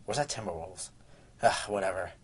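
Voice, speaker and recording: Man playing a low-voiced scheming, bumbling pony. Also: fairly quick, close to an everyday voice. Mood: grumbling, scheming. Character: scheming, bumbling male pony muttering to himself. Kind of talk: cartoonish radio play acting.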